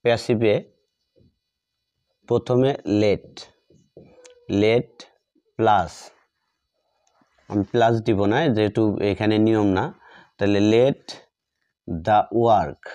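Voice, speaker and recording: A man's voice: on the low side, fairly even intonation, drawn-out words, pauses often, mellow, smooth, no room sound, muffled recording. A man with a Bengali accent teaching grammar like a classroom teacher, repeating phrases step by step as if dictating while he writes. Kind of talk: classroom lesson, explaining while writing out examples.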